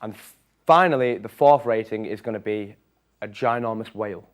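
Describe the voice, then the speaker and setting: A young man giving his honest ratings of places in a relaxed, hesitant chat. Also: on the low side, moderate pace. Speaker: student reporter. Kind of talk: casual presenting.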